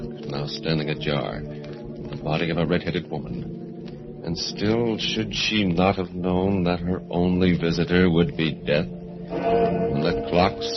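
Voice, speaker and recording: A man's voice: deep voice, unhurried. A man narrating old-time radio horror as an announcer, with ominous theatrical delivery. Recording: muffled old radio, loud music behind.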